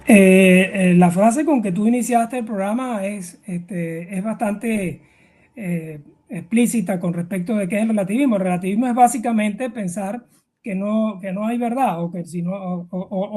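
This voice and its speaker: A middle-aged man from Venezuela speaks in a notably high voice with a Venezuelan accent. As an interview guest he explains informally, hesitating and repeating words as he searches for the next phrase. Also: moderate pace, darkish tone. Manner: hesitant, with many filler words and repetitions.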